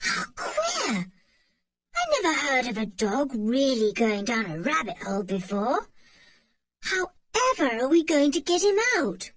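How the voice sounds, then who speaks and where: A woman's voice: high-pitched, wildly swooping pitch, measured pace, a bit bright, slightly rough, exaggerated voice. A woman reading a storybook aloud, voicing a sensible older boy who explains things earnestly. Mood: astonished. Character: boy Julian, sensible eldest of the children.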